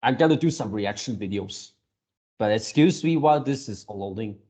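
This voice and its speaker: A man's voice: lowish voice, expressive, normal speed, warm tone, breathy edge. A man giving casual reaction commentary as a video creator, rambling like an enthusiastic fan.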